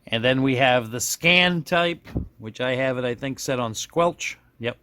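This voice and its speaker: A man's voice: mid-range voice, measured pace, bright, forceful tone, slight background noise. A man giving a casual, unscripted hands-on product demonstration, folksy and self-deprecating, often rambling and correcting himself as he goes. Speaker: product reviewer demonstrating a radio.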